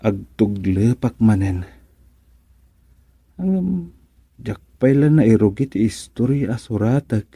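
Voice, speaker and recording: A man's voice: lowish voice, expressive, takes it slowly, long pauses, warm tone, breathy edge, no echo, heavily acted. A man acting a comic village dreamer in a radio drama, muttering to himself with hums and an Ilocano accent. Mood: musing, drowsy.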